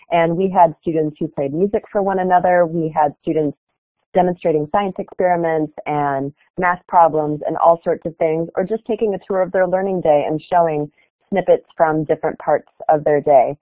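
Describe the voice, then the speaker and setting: A woman's voice: lowish voice, dry recording. Woman presenting over a tinny call line. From Chicago area, United States. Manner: earnest, explanatory, with fillers. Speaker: keynote speaker. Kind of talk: webinar presentation. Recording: tinny phone-like online call audio.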